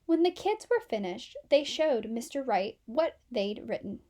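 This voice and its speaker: Casting: female storyteller for children, high voice, very lively intonation, measured pace. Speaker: children's book reader. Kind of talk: expressive scripted storytelling for children.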